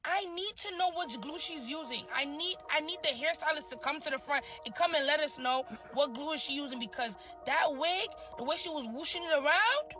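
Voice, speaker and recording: A woman in casual chat, telling a story in an animated, slangy, expressive way. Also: high-pitched, brisk, hardly any pauses, soft background music.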